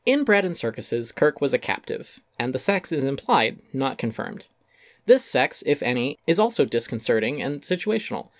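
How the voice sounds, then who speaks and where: A man's voice: medium pitch, very animated, normal speed, dry recording, clean studio sound. A man giving a dramatic reading of a critical essay, wry and articulate with theatrical emphasis. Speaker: essay narrator.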